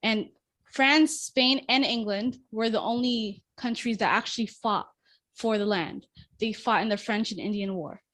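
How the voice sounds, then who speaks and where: A woman's voice: fairly high pitch, a little slow, fairly bright tone, dry recording. A young woman giving a class presentation and answering questions, talking with many restarts and fillers. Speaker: student presenter.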